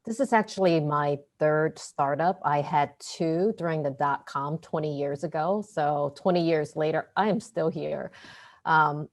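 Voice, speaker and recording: Woman, 40s, low voice, brisk and confident, video call. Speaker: founder and CEO. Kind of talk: panel introduction.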